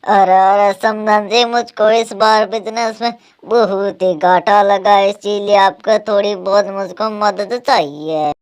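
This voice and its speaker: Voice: medium pitch, fairly even intonation, takes it slowly, clear, resonant tone, natural voice. A performer voicing a humble, grateful older father bird in a cartoon, polite and effusive. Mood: humble, pleading. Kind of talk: Hindi cartoon voice acting.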